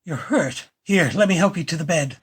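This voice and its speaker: A man playing a plucky gentleman hero in an audio play, earnest, questioning and insistent. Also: on the high side, moderate pace, breathy edge. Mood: alarmed.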